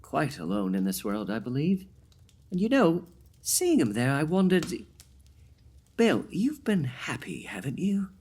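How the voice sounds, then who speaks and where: An actor playing a loving, gently teasing family man in a radio play, affectionate and playful. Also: mid-range voice, huge pitch swings, measured pace, husky, exaggerated voice. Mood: thoughtful.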